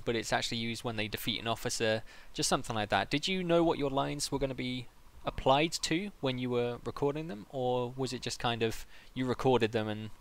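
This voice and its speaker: A man hosting a live-streamed interview in a casual, conversational way, putting questions and addressing viewers. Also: mid-range voice. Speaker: interviewer and livestream host.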